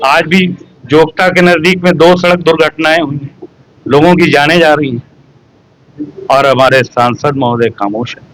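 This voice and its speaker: A man's voice: a little high, fairly even intonation, moderate pace, long pauses, a bit bright, low-quality recording. A man speaking earnestly and rhetorically to reporters at a press interview. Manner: earnest, rhetorical, complaining about officials. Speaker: local activist interviewed by press.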